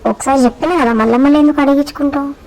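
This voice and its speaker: A stubborn, sassy pet dog, voiced by a woman snaps out orders in a high, rapid voice. It sounds fed up and bossy at all times. A faint hiss of noise sits under the home-video recording. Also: fairly even intonation, mellow, rough recording. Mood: bossy grumbling. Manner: bossy, snappy, exasperated.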